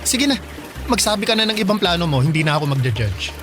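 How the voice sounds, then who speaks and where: A woman's voice: on the low side, sing-song, quick pace, over music. A woman playing a sarcastic teenage Filipina best friend in a radio drama, joking and dry. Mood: teasing.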